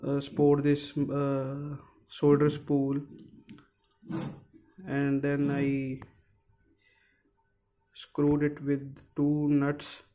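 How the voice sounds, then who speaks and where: Slow, halting man. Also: on the high side, monotone, warm tone. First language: Punjabi. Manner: hesitant, searching for words, many fillers. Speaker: DIY maker. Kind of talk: casual how-to narration.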